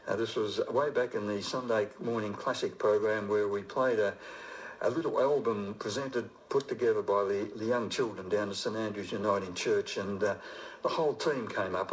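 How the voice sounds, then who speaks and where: Low-voiced older radio man. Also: low-quality recording. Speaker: radio presenter. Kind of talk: informal live radio sign-off. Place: radio studio.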